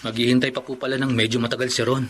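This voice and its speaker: A man voicing a young nephew in a radio drama, respectful and concerned. His voice sits at a plain middle pitch. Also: little pitch movement, very fast, a bit bright, slight background noise, lightly acted. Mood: polite. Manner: polite, respectful speech. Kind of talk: classic radio drama acting.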